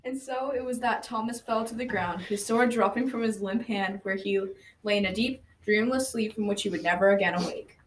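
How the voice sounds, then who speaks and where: A woman's voice: medium pitch, a little fast, hardly any pauses, lightly acted. A young adolescent girl narrating a folktale as a grandfather in a scripted school radio drama. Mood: storytelling. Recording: faint noise, slightly distant microphone.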